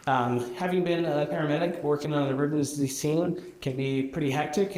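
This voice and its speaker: A man's voice: mid-range voice, darkish tone, echoey room, rough recording. A man answering at a debate as a county commission candidate, plain-spoken with many fillers.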